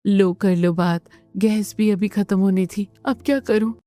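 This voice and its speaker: A woman voicing a gentle, timid young daughter-in-law in a family cartoon, earnest and hesitant. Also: medium pitch, fairly even intonation, unhurried, soft background music, lightly acted. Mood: mildly fretful. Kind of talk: Hindi family cartoon dubbing.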